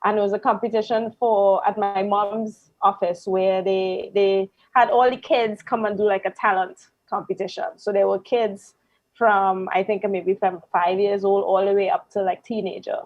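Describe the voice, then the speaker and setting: A woman's voice: medium pitch, moderate pace, dry recording. Trinidadian woman in her 30s chatting, dry slightly muffled sound. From Trinidad and Tobago. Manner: relaxed storytelling, frequent ums and soft laughs. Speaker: guest musician. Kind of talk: casual interview chat.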